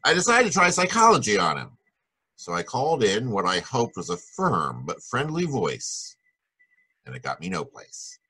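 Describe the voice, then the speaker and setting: A man playing a hard-boiled private detective in a 1940s-style radio drama, wry, brisk and tough-talking, with a husky voice. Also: low-pitched, pushed and bright, full character voice, rough recording.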